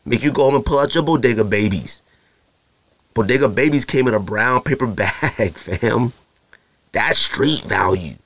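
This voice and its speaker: A man's voice: medium pitch, moderate pace, fairly bright tone, no room sound. A man from Arizona, hosting a podcast and talking casually in a slangy, rambling way, often mimicking other people. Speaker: podcast host. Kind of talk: casual solo podcast talk.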